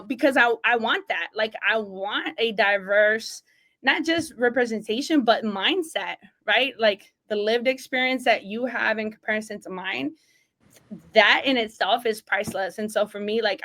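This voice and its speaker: A woman's voice: on the high side, fairly bright tone. A woman from Mexico, an associate athletic director, talking as a podcast guest in an earnest, emphatic way, often checking in with "right?". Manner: single mom. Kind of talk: casual interview talk.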